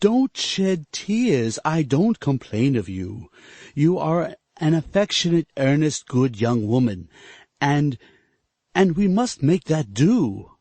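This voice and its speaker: A man reading aloud and voicing a stern, coldly practical older mill-owner who lectures in a stiff, measured way. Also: a little high, sing-song, darkish tone, dry recording, heavily acted. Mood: gently stiff.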